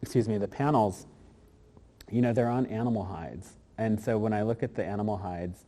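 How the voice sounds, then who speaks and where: A man with a low, dark and mellow voice talks through his answers in a thoughtful, meandering way, often restarting his sentences. He is recorded in a gallery space where a long reverb hangs behind his words. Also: normal speed. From grew up in Germany, Korea and the United States. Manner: thoughtful, rambling with fillers and restarts. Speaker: interviewed artist. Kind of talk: casual interview answers.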